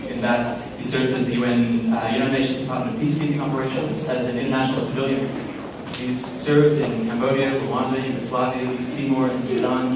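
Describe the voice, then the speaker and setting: A man with a Hong Kong accent hosting a conference, hesitant with many fillers and self-deprecating jokes. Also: medium pitch. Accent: Hong Kong English. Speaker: conference host. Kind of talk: emcee introductions with jokes. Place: conference hall. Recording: echoey hall, distant mic, noise.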